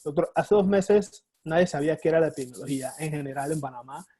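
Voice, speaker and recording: A man hosts an interview and speaks to his guest with courtesy. His voice is slightly higher than usual, husky and dark, and the sound is dry and close. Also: fast talker, dry recording. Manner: courteous, addresses guest as doctor. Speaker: interview host. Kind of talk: interview questions.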